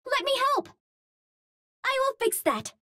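Super high cute space girl from mars for a game, earnest n formal, kinda unhurried, dry close recording. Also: very animated, pushed and bright, dry recording, heavily acted. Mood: helpful, caring. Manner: precise, formal, earnest phrasing. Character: cute, earnest young Martian woman, eager space-age game heroine. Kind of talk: video game character voice lines.

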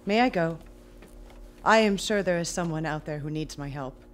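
A woman acts out an otherworldly heroine held captive in an audio drama. She is earnest and agitated, choosing formal, deliberate words with hardly a contraction. A faint hum and noise sit under her voice. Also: low voice, close to an everyday voice.

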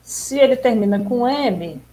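A woman's voice: on the high side, expressive, measured pace, dark tone. A woman on a video call, thinking aloud while she writes code, with a casual and hesitant manner. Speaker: coding dojo participant. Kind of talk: casual pair-programming chat on a video call.

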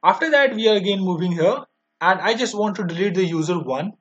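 A young man with an Indian accent walks through a software demo one step at a time. His voice is quite high for a man, and his delivery is halting and repetitive. The recording sounds dull and lo-fi. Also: expressive. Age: 20s. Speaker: software tutorial presenter. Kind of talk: step-by-step screen demo narration.

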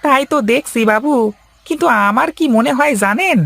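A man's voice: extremely high pitch, a little fast, gravelly, bright, forceful tone, exaggerated voice. A man voicing a cautious, deferential village farmhand in a cartoon, with a folksy manner. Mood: worried, deferential. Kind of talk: cartoon dialogue acting.

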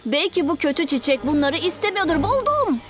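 Young cartoon hero boy, high voice, careful but eager, kinda excitable and earnest. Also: fairly quick, over music. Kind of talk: dubbed children's cartoon acting.

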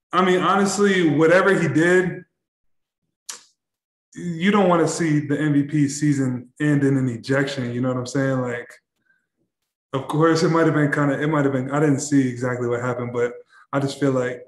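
Young athlete at a presser, slightly high voice, flat delivery, stumbles and repeats himself, echoey room. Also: male voice. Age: young adult. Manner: halting, repeats words, many fillers. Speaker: basketball player. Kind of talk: postgame press conference answers. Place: press room in front of a backdrop.

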